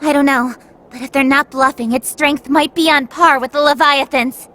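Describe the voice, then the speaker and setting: Composed, higher-voiced game heroine. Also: female voice, fairly even intonation, normal speed, sharp tone. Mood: wary. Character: composed, principled young heroine. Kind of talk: video game voice acting.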